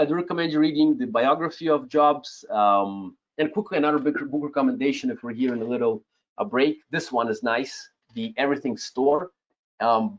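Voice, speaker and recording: A man in his thirties whose first language is Russian, teaching a live webinar with a Russian accent. He talks straight to the chat, tags his points with "yes?" and chuckles now and then. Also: mid-range voice. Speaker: webinar host, Amazon seller coach. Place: home room, indoors. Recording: slightly dull lo-fi webcam audio.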